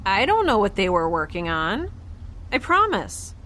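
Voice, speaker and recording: An actress playing a guarded, flustered young woman in a sci-fi audio drama, halting, defensive and rambling in a naturalistic style. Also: medium pitch, huge pitch swings, bright, forceful tone, slight background noise, full character voice.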